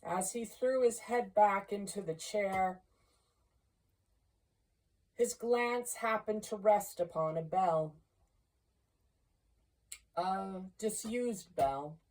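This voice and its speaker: A woman narrating a classic storybook in a deliberate, expressive way, pausing between short phrases. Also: medium pitch, low-quality recording. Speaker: amateur audiobook reader.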